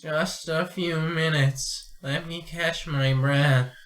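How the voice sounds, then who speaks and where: A man's voice: on the high side, fairly even intonation, slow, fairly bright tone. A man playing a demanding, anxious alien merchant who complains insistently in an amateur sci-fi radio play. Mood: pained, pleading.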